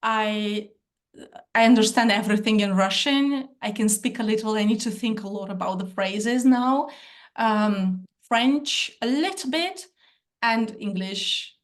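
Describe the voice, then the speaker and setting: A woman's voice: mid-range voice, measured pace. Chatty young woman from Moldova. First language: Romanian. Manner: chatty, many fillers and restarts, laughs often. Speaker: guest. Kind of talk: casual interview conversation.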